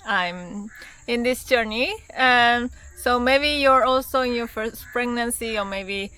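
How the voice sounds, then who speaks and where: A pregnant woman, speaking slowly and enthusiastically, repeating words as she narrates her travel vlog. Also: on the high side, bright, forceful tone, smooth, low-quality recording. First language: Spanish. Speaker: travel vlogger. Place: outdoors at a lodge.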